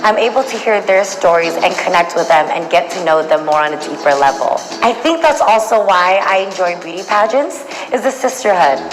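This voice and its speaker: A woman, a TV host and pageant contestant, giving a scripted self-introduction to camera. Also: mid-range voice. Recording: reverberant hall sound with faint music.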